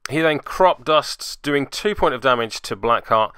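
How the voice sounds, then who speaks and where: A man's voice: mid-range voice, lively intonation, a little slow, pushed and bright, smooth, dry recording. A man giving casual play-by-play commentary on a tabletop game, with jokey, dry asides. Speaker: host and player narrating a tabletop game report.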